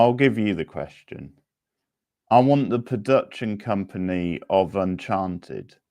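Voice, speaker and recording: A low-pitched man runs a casual quiz at a slow pace. His voice has a gravelly fry to it. He speaks to players informally and sets out each option deliberately. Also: darkish tone. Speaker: quiz host. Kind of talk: casual live quiz hosting.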